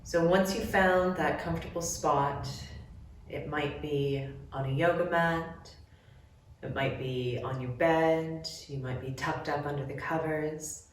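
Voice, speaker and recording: Female yoga nidra guide, very slow and gentle, medium pitch, heard at some distance in a heavily reverberant room. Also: fairly even intonation, slight background noise. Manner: gentle, measured instructions with long pauses. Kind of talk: guided relaxation meditation. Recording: very echoey room, distant mic.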